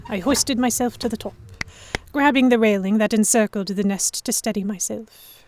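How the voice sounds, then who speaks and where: A woman playing a proud, sharp-tongued historical heroine who narrates a pirate romance in the first person, wry and expressive. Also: medium pitch, moderate pace, soft background music, lightly acted. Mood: reflective narration. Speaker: narrator and lead voice actor.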